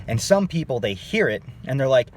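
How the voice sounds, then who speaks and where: A man explaining singing technique in a relaxed, conversational way, often turning to the viewer with "okay?" His voice sits at an ordinary middle pitch. A faint noise stays under the recording. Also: a little fast. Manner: conversational, addresses viewers as "guys", frequent "okay?" check-ins. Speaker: voice teacher. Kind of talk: casual online singing lesson.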